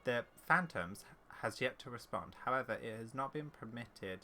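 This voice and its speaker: Bright-voiced gaming streamer, faint background noise. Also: mid-range voice, animated. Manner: halting when reading aloud. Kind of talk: casual game playthrough commentary, reading text aloud.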